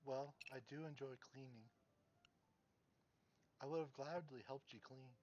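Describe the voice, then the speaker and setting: A performer reading the part of a shy, polite teenage girl in a casual staged reading, speaking hesitantly with halting fillers. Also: medium pitch, fairly even intonation, a little mellow, lo-fi sound, natural voice. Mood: timid polite. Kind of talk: casual script read-aloud.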